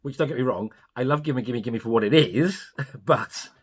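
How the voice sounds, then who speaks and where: A man's voice: mid-range voice, moderate pace, husky. A man co-hosting a casual film review podcast, giving blunt opinions and swearing freely. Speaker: podcast co-host.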